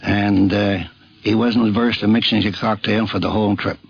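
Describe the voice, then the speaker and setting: A man's voice: fairly low pitch, flat delivery, moderate pace, vocal fry, slightly rough, lo-fi sound. A mature man giving a plain, genial reminiscence about a companion on a 1950s radio recording. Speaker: hunting companion, interviewee. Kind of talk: prepared reminiscence.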